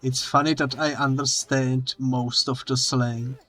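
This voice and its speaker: A man who is not a native English speaker, playing a game together with a streamer and joking in relaxed, plain speech. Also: medium pitch, measured pace, faint music behind. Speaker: co-op guest player. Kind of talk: casual gaming chat.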